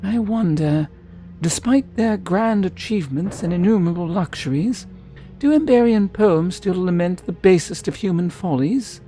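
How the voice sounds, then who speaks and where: Wistful, mellow-voiced fantasy woman, played by an actress. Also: lowish voice, lively intonation, moderate pace, soft background music, natural voice. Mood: intrigued, musing. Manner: formal, musing, poetic. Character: wistful, eloquent woman wanderer of a fantasy world. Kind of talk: video game character acting.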